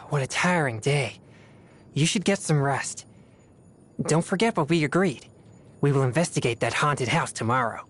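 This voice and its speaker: A woman playing a solemn, disciplined teenage boy exorcist in a video game, with formal, measured speech. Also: low-pitched, breathy edge. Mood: weary. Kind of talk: scripted video game character voice lines.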